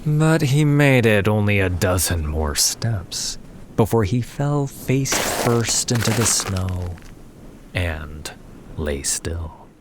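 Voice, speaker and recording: A man narrating a fantasy story in a measured, solemn way with weighted pauses, as in a scripted dramatic audiobook. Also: fairly low pitch, big pitch swings, noisy background. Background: background music.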